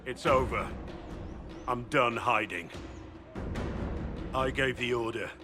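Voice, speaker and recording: A man's voice: mid-range voice, takes it slowly, music in the background, close to an everyday voice. A man playing a proud warrior in a video game, making a solemn public declaration of guilt. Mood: solemn, resolute. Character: proud, bearded male warrior publicly owning his crime.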